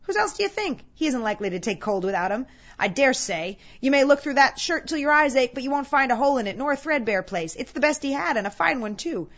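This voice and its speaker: A brazen, callous scavenger woman acted out by a female narrator haggles bluntly and scornfully. She is loud and pitched up, in a storybook reading with a slightly dull sound. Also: lively intonation, quick pace. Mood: brazen, boastful.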